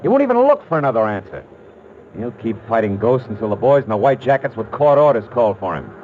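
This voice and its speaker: An actor playing a tough, wisecracking private detective with an American accent in a 1940s radio noir, hard-boiled and wry. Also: male voice, medium pitch, sing-song, fast, narrow, tinny audio, slight background noise, close to an everyday voice. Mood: urgent, insistent.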